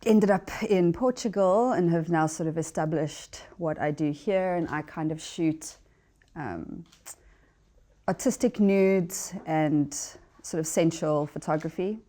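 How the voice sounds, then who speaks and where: Slow, low-voiced woman from South Africa. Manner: slow, soft-spoken, hesitant. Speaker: photographer, panel guest. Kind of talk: casual conversational talk.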